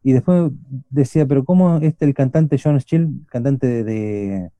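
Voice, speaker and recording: A middle-aged man with an Argentine accent hosts a casual radio chat. He has a very dark, mellow voice and keeps circling back with fillers and restarts. The recording is dry and close. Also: medium pitch, unhurried, dry recording, muffled recording. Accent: Argentine Spanish. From Argentina, Buenos Aires. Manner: rambling, many restarts and fillers. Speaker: radio show host.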